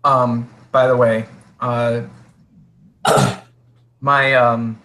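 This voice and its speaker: A man running a tabletop game who has a sore throat, pausing often and filling gaps with ums. His voice is bright and his pace slow and deliberate, recorded on a desk mic. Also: medium pitch, level tone, rough recording. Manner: halting, many fillers. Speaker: game master. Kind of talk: casual tabletop game chat.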